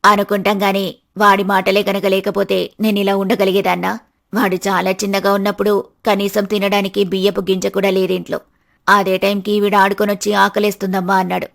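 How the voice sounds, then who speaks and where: A woman plays an older village mother in a family storybook tale. The voice is dropped low and has a creaky grain. She talks in a homely, earnest, plain-spoken way. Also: completely flat delivery, a little fast, lightly acted. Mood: emotional, reminiscing.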